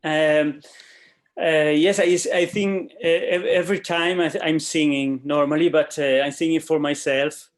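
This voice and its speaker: A composer being interviewed over a video call, who speaks haltingly in non-native English and often stops to find the next word. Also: male voice, a little high, level tone. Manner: halting, searching for words, non-native phrasing. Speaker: guest composer. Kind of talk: casual interview answers.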